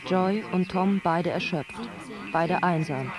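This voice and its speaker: A man's voice: high voice, slow pace. A man playing an urgent narrator in a radio drama, reciting script directions in staccato lists over music. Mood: driven. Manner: staccato, listing. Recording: radio play with music underneath.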